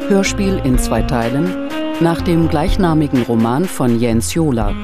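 Very deep, slow female announcer. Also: over music. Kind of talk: scripted radio drama title announcement.

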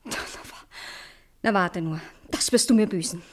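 A woman's voice: mid-range voice, unhurried, a little hiss or hum, lightly acted. An actress playing a spoiled, vain and bossy young queen in a children's radio play, with an imperious, indignant, theatrical delivery.

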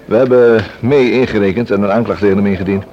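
A man's voice: fairly low pitch, fairly even intonation, fast, highs cut off, lightly acted. An actor playing a businesslike, polite police inspector in a Dutch radio play, formal and slightly hesitant as he reports.

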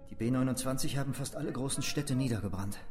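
A man's voice: mid-range voice, fast, a little mellow, soft background music, close to an everyday voice. An actor playing a stern, worried father in a German wartime radio drama. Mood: grim, foreboding.

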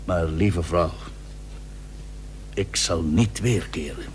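An actor playing an ailing, pious older admiral in an old radio drama, speaking in a solemn, halting, emphatic manner. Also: male voice, deep voice. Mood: solemn, resigned. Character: ailing, dutiful, pious seventeenth-century Dutch admiral, resigned to death. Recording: old radio recording with faint hum.

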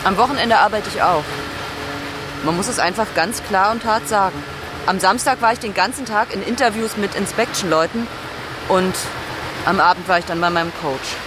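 A woman's voice: medium pitch, normal speed, natural voice. An actress playing a driven, overworked German expatriate businesswoman, speaking frankly in a radio drama monologue. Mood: frank, weary. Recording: clear car and street noise.

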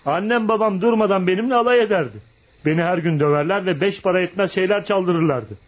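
High-voiced bitter young tough outcast. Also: male voice, fast talker. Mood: pained, confiding. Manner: dramatic, emphatic storytelling. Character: embittered young tough outcast, proud, brooding, confessional. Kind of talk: radio theatre acting.

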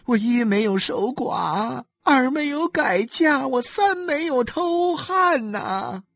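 Sobbing, frightened wife in an audiobook drama: very high voice, slow faltering lament, close dry sound. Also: female voice, lively intonation, no echo, exaggerated voice. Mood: wailing lament. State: crying. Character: wailing, wronged, frightened wife and mother.

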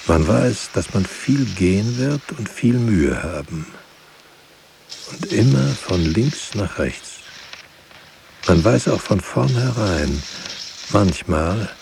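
An actor playing a grave, brooding writer, speaking solemnly in halting, deliberate phrases in a poetic radio play. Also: male voice, lowish voice, animated, creaky, background noise, exaggerated voice. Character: grave, brooding writer musing on words and silence. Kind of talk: poetic radio drama monologue.

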